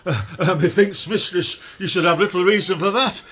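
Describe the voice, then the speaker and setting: An actor playing a bumbling, boastful weaver turned donkey-headed fool in a Shakespeare radio comedy, blustering and stammering with lots of oohs and uhs. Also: male voice, sits high, normal speed, fairly bright tone. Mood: bashful, flattered.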